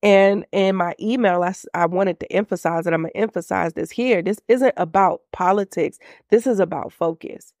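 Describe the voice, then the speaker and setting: A woman's voice: medium pitch, normal speed, hardly any pauses, a little mellow, no room sound, studio quality. A woman in her thirties hosting a faith-based business podcast, sounding congested and nasal from a cold, speaking earnestly and reflectively. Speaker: podcast host.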